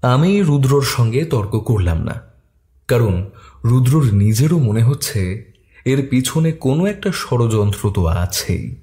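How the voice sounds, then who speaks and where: A man narrates a detective story at a steady pace. His voice has a mellow, dark tone and a mid-range pitch. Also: a little slow.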